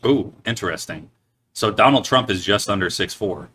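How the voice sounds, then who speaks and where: Young male podcast co-host, low and tense-edged, quick to laugh, foul-mouthed. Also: expressive, sharp tone, slight background noise. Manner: laughs often, swears casually. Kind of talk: casual crude banter.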